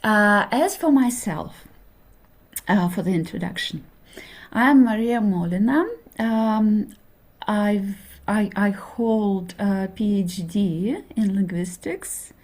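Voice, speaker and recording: Slow, hesitant woman lecturing. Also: mid-range voice, darkish tone. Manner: hesitant, many fillers, checks in with okay. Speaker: linguistics lecturer. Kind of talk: introductory university lecture.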